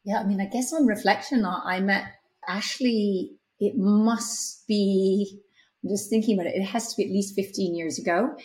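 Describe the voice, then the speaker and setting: A woman's voice: medium pitch, darkish tone. Canadian woman in her fifties, reflective. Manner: reflective, unhurried, with some filler words. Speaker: guest, startup executive. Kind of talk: conversational interview answers.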